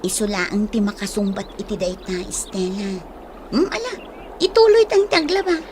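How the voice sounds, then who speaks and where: Woman acting lively practical ilocano best friend, radio drama, pitch all over, slight background ambience. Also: moderate pace, noisy background, natural voice. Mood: practical, sympathetic.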